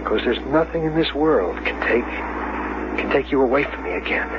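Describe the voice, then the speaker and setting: An actor playing a boyish, lovestruck fugitive husband, halting and intense, in a 1970s radio drama. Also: male voice, medium pitch, animated, lightly acted. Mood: tense, halting. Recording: dull, very noisy vintage radio recording.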